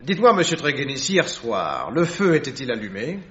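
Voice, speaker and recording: Casting: actor as sharp-minded sleuth, medium-pitched voice, deliberate and musing, radio play with dull lo-fi sound. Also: male voice, sing-song, close to an everyday voice. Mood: inquiring. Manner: deliberate, reasoning aloud. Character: Victorian detective, keen, analytical, musing. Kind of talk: French radio drama acting.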